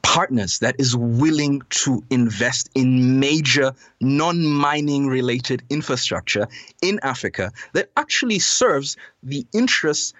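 A man's voice: mid-range voice, expressive, measured pace, fairly bright tone, no room sound, lo-fi sound. Earnest Zambian man, dry close sound. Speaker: guest commentator. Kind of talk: conversational analysis on a podcast.

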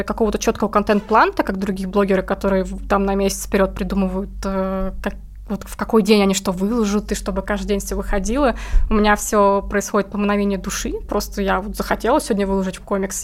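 Casting: female interview guest, medium pitch, casual conversational delivery, informal explanations. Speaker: interview guest, comic artist.

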